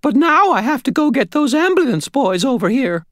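A man's voice: very high voice, big pitch swings, normal speed, fairly bright tone, dry recording, studio quality, voice pushed up, heavily acted. A man voicing an elderly landlady in an audiobook, a frightened, devout and grateful woman with a quavering voice and fervent exclamations. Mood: grateful, tender.

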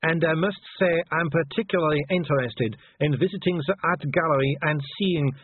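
A dazed male diplomat from an audiobook, acted by a man. He is formally polite and stunned, with a raised pitch. The sound is narrow and phone-like. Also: normal speed, husky, dry recording. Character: stunned, glazed male cultural attaché, stiffly polite. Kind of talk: audiobook character voice.

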